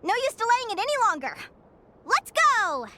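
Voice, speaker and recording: A woman voicing an earnest, brave young Fae girl in an anime video game, expressive and determined. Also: extremely high pitch, moderate pace, heavy background noise. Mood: excited, resolved.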